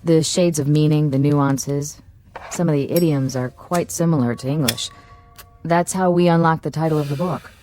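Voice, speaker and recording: A woman playing a low, mellow uneasy assistant. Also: normal speed, faint music behind, natural voice. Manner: quiet, thoughtful, uneasy. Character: uneasy female assistant code breaker. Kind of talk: radio drama acting.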